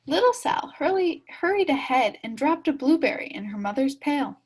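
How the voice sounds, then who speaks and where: A woman reading a children's story aloud expressively, enunciating deliberately and acting out the sound words and dialogue. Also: high-pitched, very animated, a little mellow. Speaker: storybook reader.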